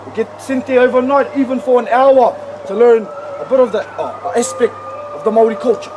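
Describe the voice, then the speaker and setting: High-voiced New guide teaching words. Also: male voice, steady tone, moderate pace. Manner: instructive, leads repetition. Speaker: cultural village guide. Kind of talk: teaching visitors, call and response. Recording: noisy live recording.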